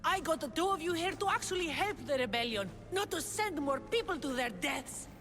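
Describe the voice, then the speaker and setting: A fierce rebel leader, sharp-tongued and commanding. Her voice sits fairly high and moves with lively swings of pitch, over loud game music. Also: normal speed. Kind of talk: video game cutscene acting.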